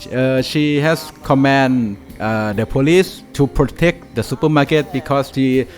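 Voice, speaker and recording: A man with a Thai accent recounts a memory in a relaxed podcast chat. He hesitates, repeats words and restarts sentences often. His pitch is middle, with faint music in the background. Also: a little slow. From Thailand. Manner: hesitant, many fillers and restarts. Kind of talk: casual storytelling.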